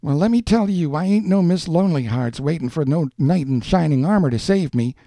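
A tough-talking young woman, as a male narrator puts her on in a short story reading. The voice sits high and jumps around, with slangy, defensive, sharp-tongued phrasing. The sound is close and dry. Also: sing-song, moderate pace, no echo. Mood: angry, defiant.

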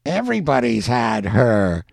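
A man's voice: mid-range voice, very animated, a little slow, creaky, a little mellow, dry recording, studio quality, pitched above the performer's own voice, full character voice. A man voicing a crude, taunting younger working-class bully with jeering, combative street talk in an audiobook story. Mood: mocking.

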